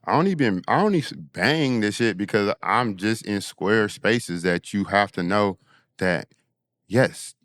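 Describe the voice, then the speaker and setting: A man's voice: lowish voice, animated, a little slow, fairly bright tone, no echo, studio quality. A man from Oakland, chatting casually as a guest artist, slangy and emphatic, often repeating himself. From West Oakland, California. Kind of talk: casual chat.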